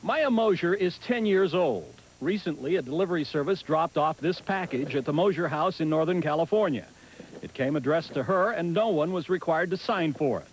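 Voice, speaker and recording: A man's voice: a little high, lively intonation, moderate pace, a little hiss or hum, poor audio. A man with an American accent narrating a scripted television news report as a correspondent.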